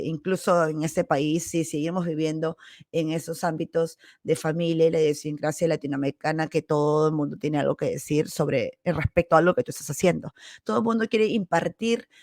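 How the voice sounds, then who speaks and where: A Peruvian woman with a Peruvian accent hosting a podcast, telling personal stories in a casual, candid and animated way. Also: sits low, no room sound, clean studio sound. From Peru. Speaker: podcast host. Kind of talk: casual autobiographical storytelling monologue.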